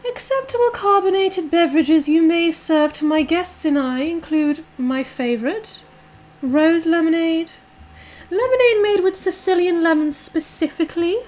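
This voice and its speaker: A woman's voice: very high-pitched, a little mellow, rough recording. A British woman playing a bossy, condescending aristocratic girlfriend called a duchess, speaking imperiously to her livestream viewers. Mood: pompous, demanding. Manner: imperious, pompous, condescending, drawn-out emphasis. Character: haughty, bossy aristocratic dominant girlfriend calling herself Duchess. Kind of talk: in-character roleplay livestream, reading and answering chat.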